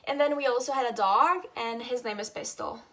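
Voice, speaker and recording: An eighteen-year-old Italian woman with an Italian accent, explaining her exchange experience in a steady, casual telling. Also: on the high side, brisk. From Italy. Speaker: former exchange student. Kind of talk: casual vlog chat.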